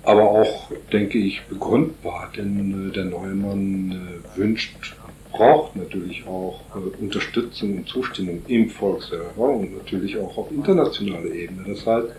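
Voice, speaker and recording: A man answering interview questions at length, pausing often on fillers as he builds long explanatory sentences. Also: low-pitched, warm tone, reverberant, a little hiss or hum, poor audio. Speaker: interviewee on Paraguay politics.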